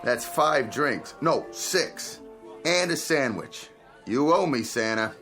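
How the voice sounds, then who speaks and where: A gruff, sarcastic male bartender in a radio play. His mid-pitched voice jumps around in lively, curt retorts over background music. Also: slow. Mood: gruff, mocking. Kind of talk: radio drama acting.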